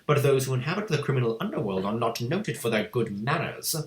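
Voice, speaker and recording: Booming cruel headmistress, put on by a male reader, shouting insults, roomy echo. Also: sing-song, brisk, lightly acted. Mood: falsely sweet. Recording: echoey room.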